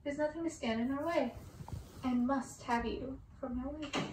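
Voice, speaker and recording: A woman's voice: high-pitched, normal speed, a little mellow, roomy sound, recorded from a distance, a little hiss or hum, lightly acted, rough recording. A woman voicing a vain young prince, earnest and haughty, in a storybook reading. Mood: pleading. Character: vain young prince, smitten then indignant and fearful.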